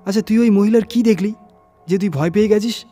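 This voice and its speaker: A man's voice: high-pitched, a little fast, mellow, soft background music, pitched above the performer's own voice. A man voicing a sceptical, bossy senior truck driver who scolds and dismisses his helper in a horror audio story. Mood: questioning. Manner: dismissive, challenging, exasperated.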